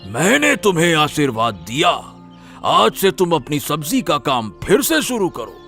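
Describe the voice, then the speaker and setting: A man voicing a solemn old holy sage in an animated moral story, with slow, drawn-out pronouncements and a gravelly voice. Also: on the high side, faint music behind, full character voice. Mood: solemn, benevolent.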